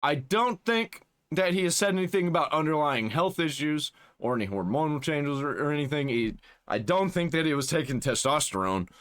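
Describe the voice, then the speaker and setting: Casting note: male reaction host, mocking and profane, a bit high-pitched, dips into a put-on low voice. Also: lively intonation, a little fast, a bit bright. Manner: mocking, swings between a squeaky high register and a put-on deep voice; swears freely, reads search results aloud. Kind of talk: casual reaction commentary.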